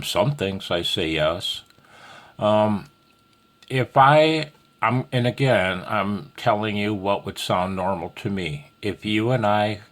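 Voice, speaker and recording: Male instructor, low voice, unhurried delivery, folksy and self-deprecating, a touch nervous, informal rambling lesson. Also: a bit bright. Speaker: amateur radio instructor. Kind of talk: casual, rambling tutorial talk.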